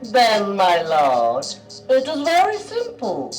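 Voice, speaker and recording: A woman voicing a clever male court jester puppet, polite, measured and calmly cheeky, in a children's show. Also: mid-range voice, soft background music, poor audio. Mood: sly, calm.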